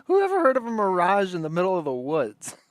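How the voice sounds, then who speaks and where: Casual male livestream host, mocking asides, animated pitch movement. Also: fast talker, background noise. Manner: wry, mocking. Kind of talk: casual reaction commentary.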